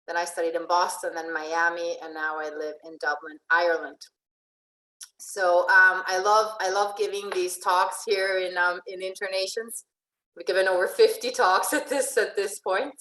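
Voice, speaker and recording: A woman's voice: fairly low pitch, steady tone, moderate pace, pushed and bright. Woman, life coach, grew up in Venezuela, chatty online presentation, restarts sentences, echoey room, video call. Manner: chatty, often restarts and asks "right?".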